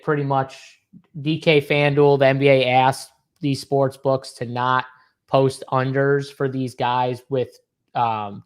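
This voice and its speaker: A man hosting a sports betting show, joking loosely and swearing casually. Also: mid-range voice.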